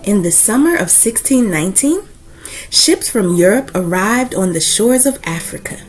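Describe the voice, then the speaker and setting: Expressive woman reading a storybook aloud, music behind. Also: mid-range voice, moderate pace, poor audio. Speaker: read-aloud host.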